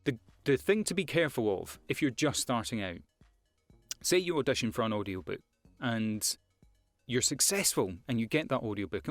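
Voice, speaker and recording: A man's voice: mid-range voice, no echo. Scottish man giving casual career advice. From Scotland. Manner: conversational, frequent fillers and repeated words. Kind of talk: casual advice talk to camera.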